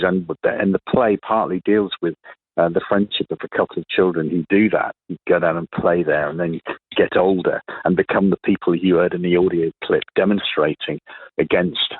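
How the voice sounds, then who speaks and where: A man with a fairly low voice speaks as a guest in a radio interview. The sound is narrow and phone-like, with little room around it. He is thoughtful and halting, often reworking a sentence midway. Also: dry recording. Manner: reflective, halting, often rephrasing mid-sentence.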